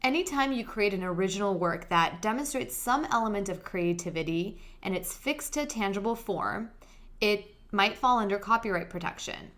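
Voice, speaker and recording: A woman's voice: medium pitch, fairly bright tone. A young adult woman hosting a podcast, explaining legal topics step by step in a friendly, direct way with a soothing voice. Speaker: podcast host, lawyer.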